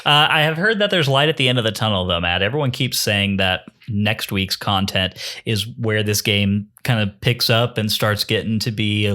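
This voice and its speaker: A man's voice: fairly low pitch, sharp tone. A man hosting a podcast in casual, bantering chat, with wry mock-formal flourishes. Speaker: podcast host.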